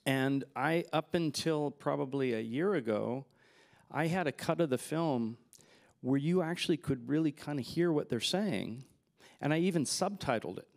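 Gravelly man telling stories on stage. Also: on the high side, normal speed. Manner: relaxed, rambling anecdotes with fillers and laughs. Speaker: filmmaker guest. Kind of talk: festival Q&A storytelling. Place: festival stage in front of a backdrop. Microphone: handheld mic.

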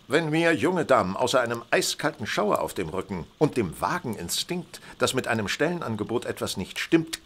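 A man's voice: mid-range voice, fairly bright tone. An actor playing a coolly logical, condescending male detective in a German radio play, speaking precisely and formally. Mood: irritated, haughty.

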